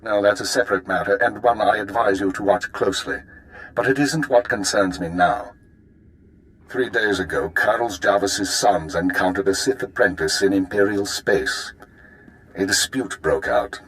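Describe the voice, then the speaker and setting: An actor playing a calm, calculating imperial intelligence handler in a video game, briefing formally and in measured phrases. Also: male voice, fairly low pitch, lightly acted, rough recording. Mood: calm, grave. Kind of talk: dramatic video game acting.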